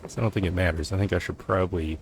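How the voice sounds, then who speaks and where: A man giving casual gameplay commentary, low-key and hesitant, thinking out loud as he plays. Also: fairly even intonation, rapid-fire, a little hiss or hum. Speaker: gaming streamer. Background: faint game music.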